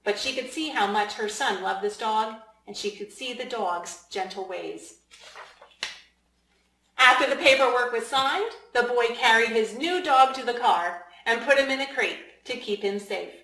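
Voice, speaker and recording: A woman reading a children's storybook aloud as its author, in an expressive, deliberate way with emphasis for young listeners. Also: a little high. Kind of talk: storybook read-aloud narration. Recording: echoey room.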